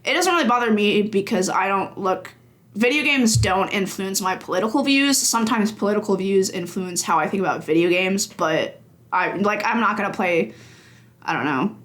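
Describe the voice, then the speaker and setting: A woman's voice: mid-range voice, lively intonation, moderate pace, fairly bright tone. A woman in her twenties, a games journalist guest, talking casually with many fillers and frequent laughs. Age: 20s. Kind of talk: casual conversation.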